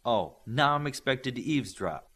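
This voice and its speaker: Man reading as wry young superheroine, animated. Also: medium pitch, normal speed.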